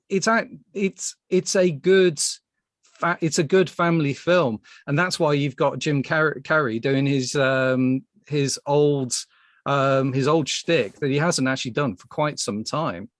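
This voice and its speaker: A man hosts a relaxed panel discussion in a fairly high voice at a slow pace. He feels his way through sentences haltingly, doubling back on words. The sound is dry and close. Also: no room sound. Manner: hesitant, halting, repeating words. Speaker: podcast host. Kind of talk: casual panel chat.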